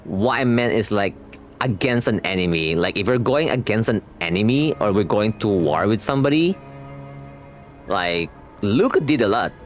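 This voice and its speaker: A young man reacts to a game as a streamer in a fairly low voice. He talks casually and slangily, circling back to rephrase his thoughts. Music plays faintly behind him. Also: lively intonation, moderate pace. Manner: rambling, slangy, repeatedly rephrasing.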